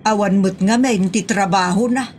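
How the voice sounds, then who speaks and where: A woman's voice: mid-range voice, moderate pace, a little mellow, a little hiss or hum, lightly acted. A woman with an Ilocano accent playing an exasperated, easily embarrassed wife and mother who scolds in a comedy audio drama. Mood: complaining, worried.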